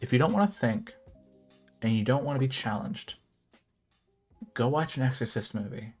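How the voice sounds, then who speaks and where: A young man from the United States talks through his video essay in an emphatic, opinionated way, his voice at an ordinary middle pitch. Background music plays clearly under him throughout. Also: big pitch swings, normal speed, a little mellow. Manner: opinionated, emphatic, at times exasperated. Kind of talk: opinionated commentary narration.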